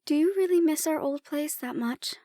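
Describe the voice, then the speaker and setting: A woman playing an affectionate, teasing human partner of a dragon in an intimate roleplay audio drama, speaking softly and unhurriedly with pauses. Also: high-pitched, no room sound, studio quality, natural voice. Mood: teasing, warm.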